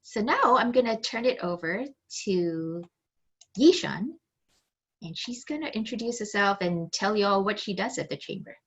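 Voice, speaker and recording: A woman's voice: medium pitch, animated, moderate pace, husky, dull audio. A woman giving an orientation talk on a video call, conversational with many fillers, her phrases trailing off. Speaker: chamber staff presenter.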